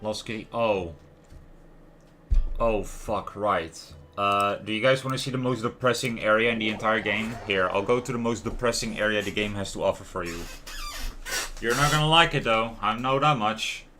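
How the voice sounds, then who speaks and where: A man hosting a livestream, giving casual, expressive, rambling game commentary addressed to his viewers. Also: fairly low pitch, moderate pace, slight background noise. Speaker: livestream host.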